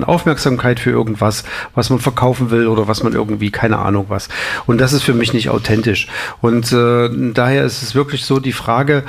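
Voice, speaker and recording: A man with a gravelly, creaky voice of middling pitch, chatting as a guest in a radio studio. He weighs his words slowly, restarting sentences and filling gaps with ums. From eastern Germany. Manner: thoughtful, many ums and restarts. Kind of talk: casual radio conversation.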